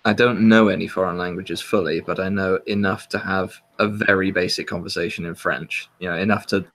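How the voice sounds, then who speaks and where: A man's voice: sits low, steady tone, brisk, fairly bright tone. A man from southern England with a southern English accent, hosting a casual chat and laughing often. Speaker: host.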